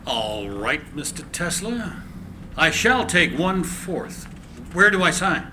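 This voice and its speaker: A man's voice: on the high side, big pitch swings, slow. A man acting a rich, decisive, businesslike financier in a live script reading. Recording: noisy live room recording.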